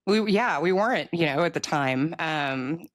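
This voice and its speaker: Low-voiced American woman chatting. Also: lively intonation, measured pace, no echo, studio quality. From United States. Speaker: author guest, military veteran. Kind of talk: casual interview chat.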